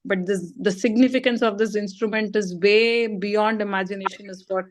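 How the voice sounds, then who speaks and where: A woman's voice: medium pitch, steady tone, moderate pace, slight background noise. A woman at a community meeting giving spoken feedback in a hesitant way, with many fillers. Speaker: meeting participant.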